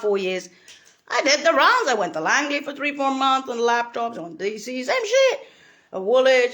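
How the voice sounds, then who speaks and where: Lady ranting all over the place, swears a lot, laughs, voice jumps up and down a ton. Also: high voice. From Italy. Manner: profane, laughing, bursts into shouts. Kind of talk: rambling monologue.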